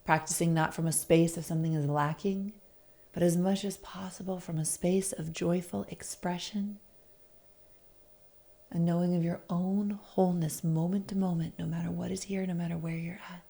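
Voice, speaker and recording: A woman with a fairly low, dark and relaxed voice guides a meditation. She speaks soothingly and deliberately, offering each instruction as a gentle invitation. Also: gravelly. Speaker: guest meditation facilitator.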